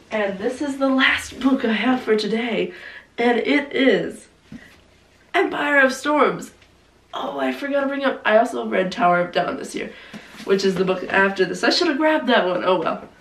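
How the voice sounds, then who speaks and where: A hoarse young woman chatting casually about her favorite books, gushing and saying "like" often, with a cough now and then. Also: a little high, lively intonation, a little slow. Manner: gushing, heavy use of "like". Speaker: book vlogger. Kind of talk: casual book review chat. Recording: roomy, distant mic, faint noise.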